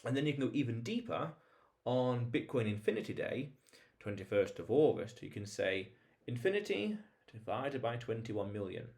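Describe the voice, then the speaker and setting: A man's voice: lowish voice, a little mellow. A man hosting a vlog, talking informally to viewers in an explainer monologue, emphatic, repeating phrases and posing rhetorical questions. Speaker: vlog host.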